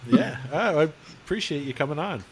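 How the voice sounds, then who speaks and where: A man co-hosting a podcast in relaxed casual chat, halting and hesitant with fillers. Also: a little high, loud music behind the voice. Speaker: podcast co-host.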